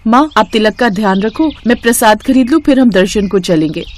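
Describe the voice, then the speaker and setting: A woman's voice: on the high side, lively intonation, brisk, lightly acted. Woman as earnest, devout young mother. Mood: gentle. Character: devout, long-suffering young mother in a moral story.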